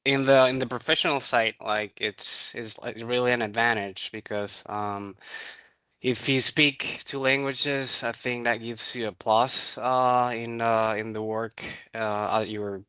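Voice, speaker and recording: A young man from Nicaragua whose first language is Spanish, answering podcast interview questions in English with many pauses, ums and restarts. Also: mid-range voice, fairly even intonation, pushed and bright, clean tone, no echo. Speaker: student services coordinator. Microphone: podcast microphone.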